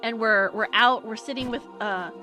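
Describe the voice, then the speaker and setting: A woman's voice: a little high, normal speed, sharp tone, soft background music. A young American woman streamer telling a story in a casual, rambling way, with many fillers and restarts. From United States. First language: English.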